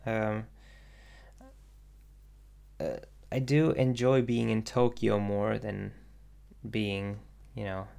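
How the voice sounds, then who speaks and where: A young man from Sweden chatting casually on a livestream, answering viewer questions in a hesitant, soft-spoken way with lots of ums and restarts. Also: lowish voice, little pitch movement, very slow, gravelly, pauses often, smooth. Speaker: independent animator streaming.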